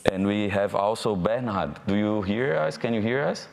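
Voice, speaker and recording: A man from Brazil with a Brazilian Portuguese accent moderating a live panel, friendly and halting, with fillers. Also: fairly low pitch, lively intonation, vocal fry, slight background noise. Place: event hall. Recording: hall reverb from a live event.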